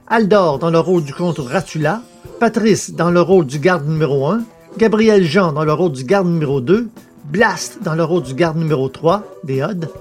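Light music plays under a man with a fairly high voice. He is a presenter, reading scripted announcements for an audio production. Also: a little mellow.

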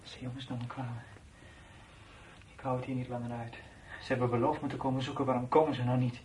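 An actor playing a weary, anxious twenty-one-year-old soldier who broods and mutters in a radio drama. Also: male voice, flat delivery, fast talker, darkish tone, natural voice. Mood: anxious, frustrated. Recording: noisy old radio play recording with rumble.

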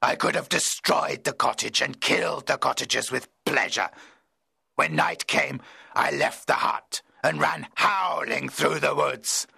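A man voicing a lonely, anguished outcast monster who tells his own story in a dramatic audiobook, gravelly, husky and theatrical. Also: a little high, bright, forceful tone, no echo, studio quality, pitched above the performer's own voice, full character voice. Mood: anguished, vengeful. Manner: intense, deliberate, theatrical.

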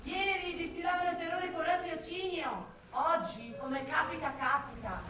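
A woman's voice: very high-pitched, moderate pace, noisy background. Scornful woman forensic investigator, very echoey. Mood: cynical, bitter. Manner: sardonic, blunt, swinging from muttering to outbursts. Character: cynical, foul-mouthed, scornful female forensic investigator. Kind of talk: theatrical Italian radio drama monologue. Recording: echoey, distant stage sound with music.

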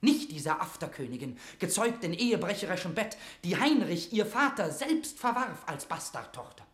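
A man's voice: sits high, very animated, normal speed, a bit bright. Fervent young nobleman in echoing room. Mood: fervent indignation. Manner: declamatory, impassioned, solemn. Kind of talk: classic German verse drama, declaimed radio acting.

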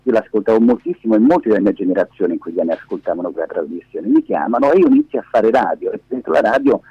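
Man in his fifties, husky, animated intonation, dull phone sound, emphatic storyteller. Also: lowish voice, fast, no echo. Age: 50s. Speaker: radio interview guest, performing media teacher. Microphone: telephone. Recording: dull, muffled phone call.

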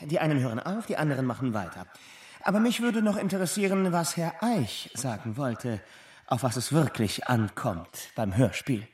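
A man's voice: medium pitch, big pitch swings, moderate pace, a little mellow, lightly acted. Fussy, polite panel moderator played by a man, roomy sound. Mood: politely exasperated. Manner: deferential, wordy, hesitant. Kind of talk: radio play moderation. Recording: roomy, distant from microphone.

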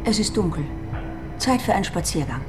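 A woman's voice: on the low side, lively intonation, a little fast, close to an everyday voice. An actress playing a cold, ruthless female conspirator in a radio drama, speaking with cool, controlled menace. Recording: music under the voice.

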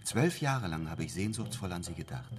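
An actor playing a respectful, lovesick disciple of a master painter in a radio drama, earnest and deferential. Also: male voice, low-pitched, expressive, moderate pace. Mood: earnest, pleading. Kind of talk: literary radio drama, narration and dialogue.

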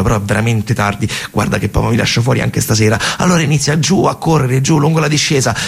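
A man narrating a radio theatre monologue dramatically, in colloquial, short phrases with repetitions and a husky voice. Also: medium pitch. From Italy. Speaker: storyteller actor.

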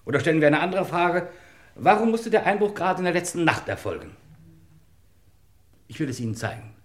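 An actor playing a commanding, self-assured chief inspector in a German radio crime play, declamatory and pointed. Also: male voice, fairly high pitch, sing-song, fairly quick, echoey room.

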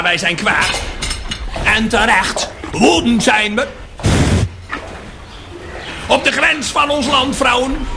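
An actor playing a furious cow who rallies the herd in a theatrical radio play monologue, declamatory and full of rhetorical questions. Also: male voice, extremely high pitch, vocal fry, full character voice. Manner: declamatory, rousing, rhetorical questions and repeated refrains. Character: furious cow rallying the herd against city builders.

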